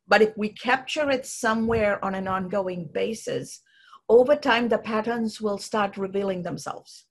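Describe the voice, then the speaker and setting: Sri Lankan woman, gravelly podcast host. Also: medium pitch. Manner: explanatory, frequently adds "right?". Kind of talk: conversational podcast discussion.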